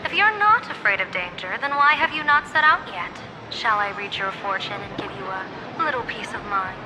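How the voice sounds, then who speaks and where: A woman's voice: mid-range voice, lively intonation, normal speed, noisy background, close to an everyday voice. A woman voicing an enigmatic, shrewd general and fortune-teller in a video game, poised and formal with a teasing, imperious streak. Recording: loud music over the voice.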